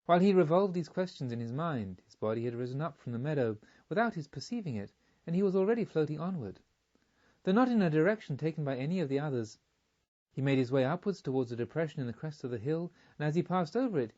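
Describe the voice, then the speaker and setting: A man reading a literary story aloud as an audiobook narrator, in a measured, expressive storytelling manner. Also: a little high, highs cut off.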